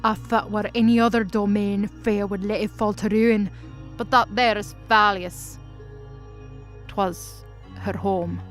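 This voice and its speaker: A woman's voice: medium pitch, fairly even intonation, slow, soft background music, lightly acted. Woman playing a blunt, scornful, weary woman. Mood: cold, blunt. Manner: dismissive, blunt. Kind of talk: audio mythology drama acting.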